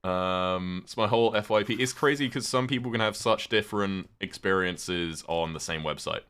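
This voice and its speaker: A man's voice: low voice, normal speed, fairly bright tone, clear, resonant tone. A young British man chatting casually with his stream chat while playing a game, rambling with lots of fillers. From Britain.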